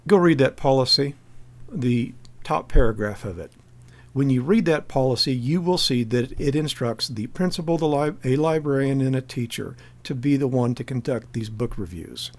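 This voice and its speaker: A man's voice: medium pitch, lively intonation, normal speed, a little mellow. An American man delivering spoken rebuttal commentary in an emphatic, pointed, argumentative way. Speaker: activist commentator giving a response.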